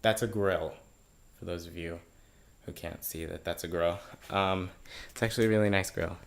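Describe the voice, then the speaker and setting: A teenage boy, a high school senior, chatting in a rambling way in a casual video diary at home and laughing at himself. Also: fairly even intonation, normal speed, gravelly. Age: teens. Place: at home, partly outdoors on a deck. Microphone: handheld camera.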